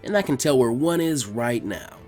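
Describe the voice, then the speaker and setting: A man's voice: medium pitch, lively intonation, moderate pace, darkish tone, soft background music, voice pushed down, close to an everyday voice. A man voicing a brash, restless young boy ninja who grumbles informally in an audiobook-style story reading. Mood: grumbling.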